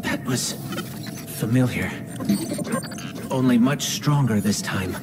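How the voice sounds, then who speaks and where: A man's voice: medium pitch, slow, natural voice. A man playing a small, anxious robotic companion in a video game, hesitant and uneasy. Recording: loud music behind.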